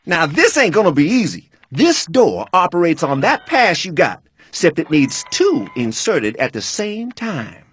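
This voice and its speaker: A man's voice: fairly high pitch, measured pace, faint music behind. An actor playing a cocky, slangy young American tech support guy in a video game cutscene. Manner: slangy, animated, excitable.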